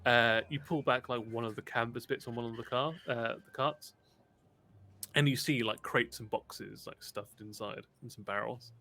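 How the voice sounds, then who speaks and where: A man acting as game master, narrating a tabletop game in a halting, conversational way. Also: medium pitch, fairly even intonation, creaky, bright, forceful tone.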